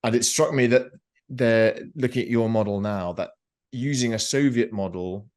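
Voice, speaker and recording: A man moderating a webinar over a video call, breaking in politely with a halting question. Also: medium pitch, a little mellow. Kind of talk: casual moderating.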